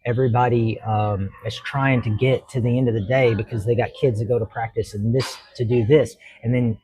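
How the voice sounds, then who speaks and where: A man's voice: medium pitch, fairly even intonation, fairly quick, a little mellow, husky, rough recording. A man, a judge answering interview questions in an earnest, reflective way and explaining at length. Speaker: judge, talk show guest.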